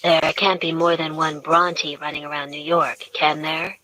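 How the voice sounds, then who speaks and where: Woman doing a weary scarred guy, intent, low for her, bright tone. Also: flat delivery, a little slow, low-quality recording. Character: scarred, world-weary wealthy man.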